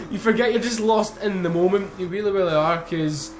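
A young man chatting casually over racing gameplay, excitable, swearing and joking. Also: high-pitched, unhurried. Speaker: gaming YouTuber. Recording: faint game engine noise behind.

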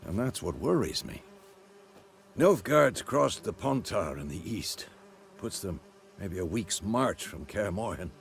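An actor playing an older monster hunter, a wry mentor with a gravelly voice and a measured, instructing manner in a video game. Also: male voice, fairly low pitch, very animated, a little hiss or hum, heavily acted. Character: gruff old veteran monster hunter, male, wry mentor. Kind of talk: video game dialogue acting.

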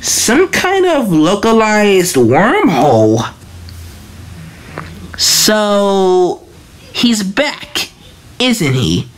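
This is a woman reading a comic aloud and doing all of its parts. She speaks very slowly, with a lively pitch that rises and falls, and she stumbles and restarts now and then. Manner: slow, halting reading with restarts. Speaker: fan comic dub reader.

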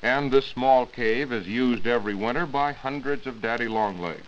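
A man's voice: mid-range voice, moderate pace. A dull, lightly hissy 1940s recording has a man reporting on science. He reads a prepared script in a formal, explanatory way.

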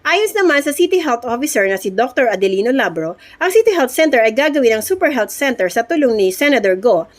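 A woman's voice: a little high, sing-song, fairly quick. A woman with a Filipino accent reading a scripted news report as a reporter.

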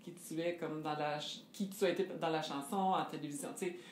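A woman's voice: sits low, steady tone, fairly quick, a little mellow. A woman with a Québécois French accent interviewing a guest, enthusiastic and thinking aloud with restarts. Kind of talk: interview conversation. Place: living room with a fireplace, indoors. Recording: echoey room with faint hiss.

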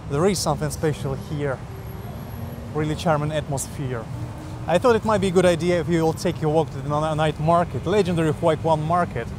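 A man narrating a casual travel vlog outdoors in a city, chatty and enthusiastic, talking straight to his viewers. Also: on the high side. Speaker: vlogger. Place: outdoors on busy city streets. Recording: clear street noise behind. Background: traffic and street noise.

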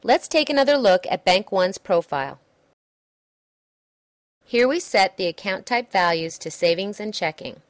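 A woman reading a scripted software demo narration in a measured, instructional way. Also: medium pitch, lively intonation, dry recording, clean studio sound.

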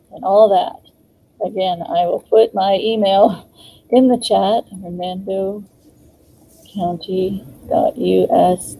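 A woman's voice: medium pitch, dark tone, slight background noise, poor audio. A woman in her fifties, co-hosting a live online garden clinic over a video call, chatting casually with frequent ums and little laughs. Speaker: co-host, extension educator. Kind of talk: casual live-stream chat.

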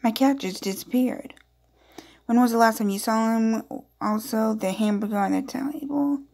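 A woman's voice: on the high side, moderate pace, gravelly, a little mellow. A woman doing casual, playful gameplay commentary, reading on-screen dialogue aloud with chatty, amused asides. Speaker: gaming video creator.

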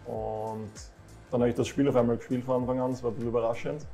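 A man in his thirties with an Austrian accent, a professional footballer, answering calmly and modestly. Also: mid-range voice, quick pace, gravelly, faint music behind. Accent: Austrian German. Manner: calm, modest, plain-spoken. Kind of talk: relaxed interview answers. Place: football club café with shelves, indoors.

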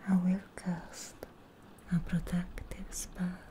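A young woman in an ASMR fantasy roleplay as a charming, eerie witch, whispering breathily in a deliberate, soothing way, close to the mic. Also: on the low side, little pitch movement, mellow. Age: young adult. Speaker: ASMR performer.

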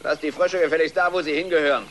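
A man's voice: fairly high pitch, fairly even intonation, fast, fairly bright tone, a little hiss or hum, close to an everyday voice. An actor playing an irascible farmer father in a family film dub, blustering as he scolds. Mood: angry. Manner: blustering, stammering when flustered. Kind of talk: dubbed family film acting.

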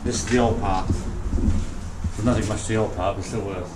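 A man's voice: on the low side, steady tone, moderate pace. A man exploring a bare derelict building, talking casually from across the room, his voice bouncing off the walls. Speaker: fellow explorer. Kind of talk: casual chat. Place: inside an empty derelict school. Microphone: someone else's action camera, off-mic. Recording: distant, echoey, very noisy camera audio.

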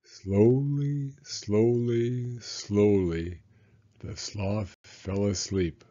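An older man reading a children's picture book aloud deliberately, with pauses between words. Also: lowish voice, steady tone, dark tone, dull audio. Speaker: storyteller.

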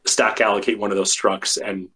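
A man, a software engineer guest, explaining technical details thoughtfully with many fillers, his voice getting tired and worn. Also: lowish voice, flat delivery, normal speed, husky. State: losing his voice. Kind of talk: technical interview talk.

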